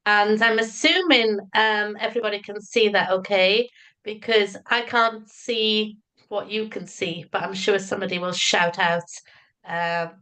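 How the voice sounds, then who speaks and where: A woman's voice: medium pitch, fairly even intonation, measured pace, bright, forceful tone. A middle-aged woman from Wales with a Welsh accent, chatty and enthusiastic, presenting a teachers' webinar. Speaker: materials writer and teacher educator.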